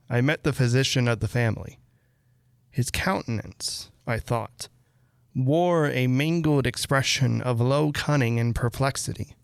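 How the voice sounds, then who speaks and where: Male narrator for classic Gothic fiction: unhurried pace, creaky low-end fry, measured phrasing. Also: no room sound, clean studio sound. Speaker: narrator reading a classic Gothic short story aloud. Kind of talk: measured literary audiobook narration.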